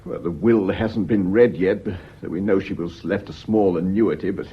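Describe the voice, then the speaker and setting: An actor playing a defensive, well-bred British widower under suspicion in a radio drama, speaking in a hesitant, genteel, easily flustered way. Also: male voice, deep voice, moderate pace, husky. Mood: hesitant, troubled. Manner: hesitant, stammering, indignant, genteel. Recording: muffled old radio recording.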